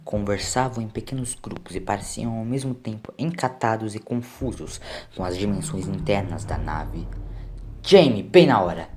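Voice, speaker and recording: A man's voice: lowish voice, normal speed, a little mellow, slight background noise. A man with a Brazilian accent giving a dramatic scripted narration of a science-fiction story.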